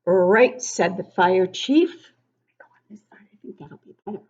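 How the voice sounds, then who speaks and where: A woman reading a storybook aloud to young children as a teacher, expressive, with encouraging conversational asides and questions to her listeners. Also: mid-range voice, normal speed. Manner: expressive, emphatic when reading. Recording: dull, lo-fi sound.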